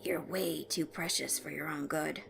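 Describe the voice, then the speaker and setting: A woman's voice: low-pitched, fairly even intonation, a little slow, creaky, voice pushed down, heavily acted. A woman voicing a brooding, dramatic boy vigilante with a stern manner, over music. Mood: fondly muttering.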